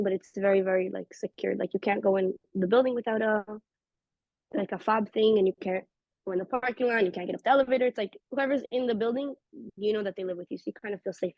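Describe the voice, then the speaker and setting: A woman's voice: medium pitch, no room sound. A woman from Israel with an Israeli accent, telling personal stories in a casual talk and acting out the people she quotes. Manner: fast, animated, acts out quoted voices. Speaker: interview guest. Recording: dull lo-fi recording.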